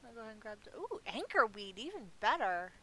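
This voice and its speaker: A woman's voice: a little high, sing-song, quick pace, fairly bright tone. A middle-aged woman giving casual, rambling gameplay commentary in a chatty, self-amused way, as if thinking aloud. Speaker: gaming video host. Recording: clearly audible background hiss.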